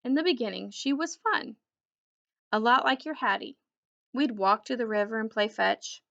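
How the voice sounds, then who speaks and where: Woman as a gentle, wistful storybook lady dog. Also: a little high, moderate pace, gravelly, dull audio. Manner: wistful, lovely-voiced. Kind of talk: children's storybook read-aloud.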